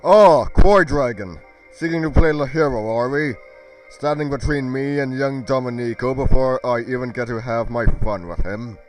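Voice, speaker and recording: A man's voice: mid-range voice, moderate pace, soft background music, natural voice, poor audio. A man voicing a boastful, cruel warlord villain, taunting and theatrical, in an amateur fantasy roleplay. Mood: mocking.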